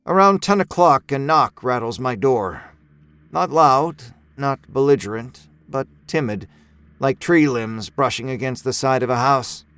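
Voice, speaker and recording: A man narrating a first-person horror story in a measured, brooding, expressive way. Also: mid-range voice, clean tone, dry recording. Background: music score.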